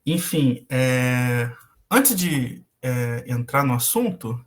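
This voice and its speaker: Young Brazilian man, halting lecturer. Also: medium pitch, expressive. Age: young adult. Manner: hesitant, frequent filler "é" and restarts. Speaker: guest lecturer. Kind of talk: casual online lecture. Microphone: video call.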